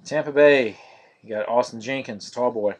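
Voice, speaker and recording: A man hosting a live-streamed card break, naming each card in a steady, monotonous voice. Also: medium pitch, normal speed. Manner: monotonous, steady listing of cards.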